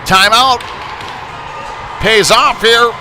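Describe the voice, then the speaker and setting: A man's voice: very high voice, extremely slow, a crowd in the background. Male hockey play-by-play commentator over rink noise. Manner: excited surges on big plays, conversational analysis between. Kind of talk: live sports commentary. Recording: noisy rink ambience behind live commentary. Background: busy ice rink noise.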